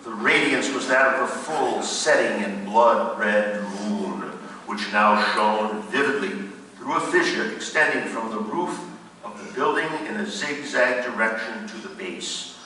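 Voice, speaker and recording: A man's voice: lowish voice, animated, a little slow, sharp tone, slight background noise. A man playing the haunted narrator of a gothic tale, reciting in a grave, theatrical way. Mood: dread. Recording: distant, very echoey hall.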